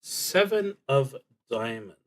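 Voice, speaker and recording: A man streaming a solo tabletop roleplaying game, thinking aloud casually and often pausing mid-sentence. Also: mid-range voice, sing-song, fairly bright tone. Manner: halting, with frequent pauses mid-sentence.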